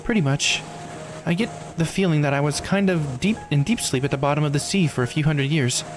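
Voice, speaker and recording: A man's voice: a little high, fairly quick, over music, voice pushed up, close to an everyday voice. A man voicing an evasive, casual immortal girl from a visual novel, with hesitant, informal speech. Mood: guarded, casual. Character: immortal girl, eight hundred years old, evasive and casual. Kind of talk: visual novel character read-aloud.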